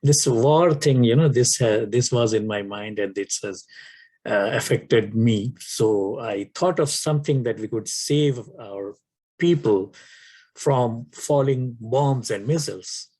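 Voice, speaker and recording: An older man from Pakistan, whose first language is Urdu, answering interview questions slowly with many pauses and fillers. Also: mid-range voice, darkish tone. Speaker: author guest.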